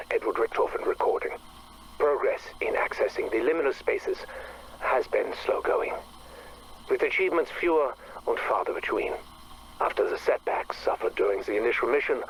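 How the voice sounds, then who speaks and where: Casting: male actor as obsessive, bitter scientist for game audio logs; formal, theatrical diction, breathy and brooding, slightly noisy recording. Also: medium pitch, natural voice. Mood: formal, measured. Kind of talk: dramatic monologue for a game audio log.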